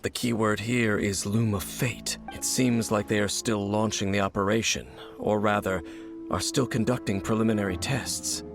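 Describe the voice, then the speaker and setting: A grave, knowing warrior guide from a video game. His voice sits low, and he speaks with measured, solemn formality. Faint music runs underneath. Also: fairly even intonation, vocal fry. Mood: grave, analytical.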